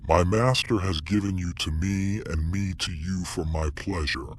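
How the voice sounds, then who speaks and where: A man voicing a cruel, gloating monster in a motion comic, with a husky rasp and slow, theatrical threats. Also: low voice. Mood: gloating menace. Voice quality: husky, rasping. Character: menacing male monster familiar, cruel and gloating. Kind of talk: motion comic villain acting.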